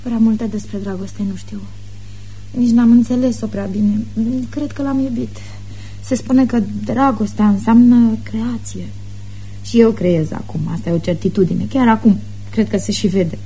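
A woman's voice: fairly high pitch, steady tone, dark tone, breathy edge, soft background music. An actress playing a whimsical, stubborn pregnant teenage girl in a radio play, lonely, offbeat and flippant. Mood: guarded, wistful. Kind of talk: radio theatre acting. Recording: noisy radio drama recording with hum.

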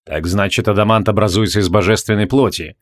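A man voicing a proud, irritable mage in a fantasy audiobook, muttering tersely and pausing to think. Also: lowish voice, steady tone, lightly acted. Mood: pensive. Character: proud, irritable male mage, gruff and brooding.

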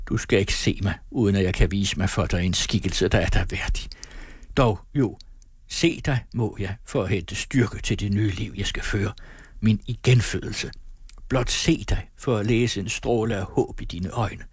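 A man's voice: fairly low pitch, husky, no echo, studio quality. A man voicing a bitter rejected suitor in a storybook, declamatory and melodramatic. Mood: anguished pleading. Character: bitter rejected suitor, pleading then raging and threatening.

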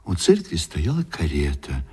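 An actor narrating a period tale for a radio play in an expressive, measured storytelling manner. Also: male voice, very deep voice, exaggerated voice. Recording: radio drama with faint music behind.

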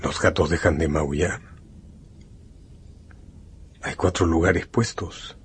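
A man narrating a scripted radio drama in a measured, solemn storytelling manner. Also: low-pitched, steady tone, slight background noise.

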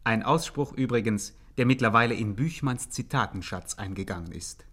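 An actor playing a pedantic commentator on criminal history, pompous and deadpan, narrating a 1950s satirical radio play. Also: male voice, lowish voice, normal speed, breathy edge.

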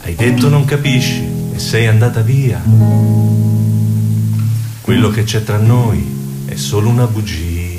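A man's voice: on the low side, a little slow, pauses often. A male singer-songwriter singing a comic love ballad over acoustic guitar. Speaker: singer-songwriter, radio guest. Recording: roomy, loud guitar accompaniment.

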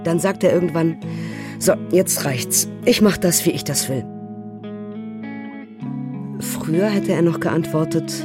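A woman's voice: deep voice, unhurried, pauses often, over music. A woman playing a frank, resigned wife in a radio documentary play, speaking plainly and conversationally. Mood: resigned, frustrated. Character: frank, resigned wife adjusting to her changed husband.

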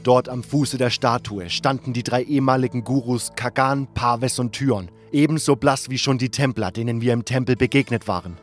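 A man's voice: mid-range voice, normal speed, hardly any pauses, lightly acted. Man as serious first-person fantasy narrator. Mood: measured, ominous. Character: serious hero narrating his own fantasy adventure. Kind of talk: scripted narration. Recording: music bed behind.

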